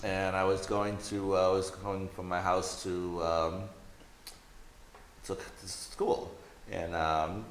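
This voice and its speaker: A man's voice: sits low, level tone, takes it slowly, echoey room, a little hiss or hum. A man talking casually in discussion and anecdote, feeling his way through sentences.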